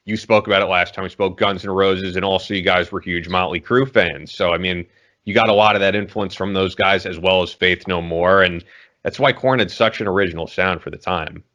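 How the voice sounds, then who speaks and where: A man's voice: low-pitched, moderate pace, bright, forceful tone. A man co-hosting a podcast, asking laid-back, friendly questions. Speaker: podcast co-host. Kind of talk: casual interview talk. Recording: dull lo-fi podcast audio.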